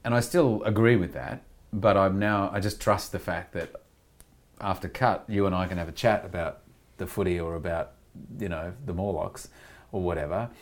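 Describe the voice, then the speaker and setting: A man's voice: deep voice, normal speed. A middle-aged Australian man talking candidly in an interview, rambling with frequent restarts and "you know" fillers. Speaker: actor being interviewed. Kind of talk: reflective conversational interview.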